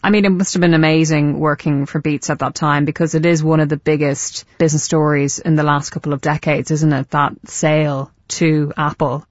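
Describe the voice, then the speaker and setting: A woman's voice: deep voice, little pitch movement, a little fast, hardly any pauses, no room sound, lo-fi sound. A woman presenting a radio programme and putting questions to a guest in a broadcast interview style.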